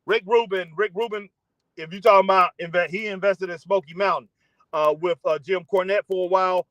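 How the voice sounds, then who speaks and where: Live-stream host, male, rambling and conversational, talking into a phone in his car, sharp tone. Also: high-pitched, fairly even intonation, fairly quick, no echo, highs cut off. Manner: rambling, chatty, reads viewer comments aloud. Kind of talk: casual live-stream chat.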